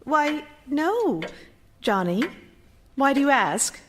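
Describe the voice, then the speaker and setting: High-voiced, fussing, dutiful housekeeping relative. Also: female voice, very animated, slow pace, a bit bright, slight background noise, full character voice. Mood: eager, deferential. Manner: coaxing, proper, soothing. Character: dutiful, fussing, deferential sister-in-law keeping house. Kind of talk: period radio theater acting. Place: theater stage, live performance.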